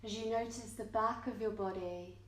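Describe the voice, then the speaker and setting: A woman teaching yoga nidra, leading a guided meditation gently in short, measured phrases with long pauses. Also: mid-range voice, steady tone. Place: indoor yoga studio, live class. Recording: roomy, echoing yoga studio.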